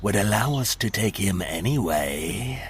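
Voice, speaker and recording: A man's voice: on the low side, lively intonation, slight background noise. A man playing a tall, stately alien visitor in a comedic audio drama, speaking formally and literally. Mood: curious. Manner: formal, deliberate speech.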